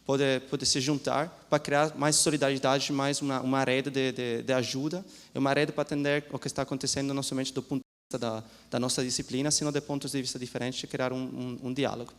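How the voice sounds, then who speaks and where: A man, a law professor, giving a seminar talk in a lecture hall, speaking haltingly with many restarts and a mix of languages. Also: medium pitch, flat delivery. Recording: long hall reverb.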